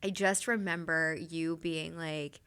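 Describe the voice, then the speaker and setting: A woman's voice: deep voice, steady tone, slow, fairly bright tone, clean tone. A woman hosting a podcast interview, chatty and friendly, often laughing. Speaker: podcast host. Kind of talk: casual interview chat.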